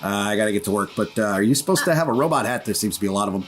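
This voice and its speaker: A man's voice: lowish voice, lively intonation, racing, voice pushed down, close to an everyday voice. A man playing an awkward, well-meaning, folksy suburban dad in a tabletop roleplaying game. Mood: awkward, friendly.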